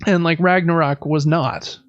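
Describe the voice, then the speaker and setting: A man's voice: fairly high pitch, fairly even intonation, a little slow, dry recording, highs cut off. A man hosting a podcast, talking through gaming news in a casual, informal, conversational way. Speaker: podcast host.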